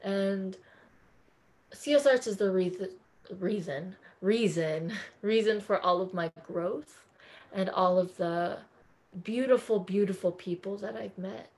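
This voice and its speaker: A woman's voice: medium pitch, slow, darkish tone. Effusive young woman from California. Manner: effusive and earnest. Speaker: podcast guest. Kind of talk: casual interview chat.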